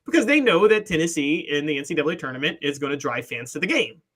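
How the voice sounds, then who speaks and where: Bright opinionated man in his thirties. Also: fairly high pitch, animated, fast talker. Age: 30s. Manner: opinionated, analytical, explains at length. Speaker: sports talk co-host. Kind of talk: casual sports talk.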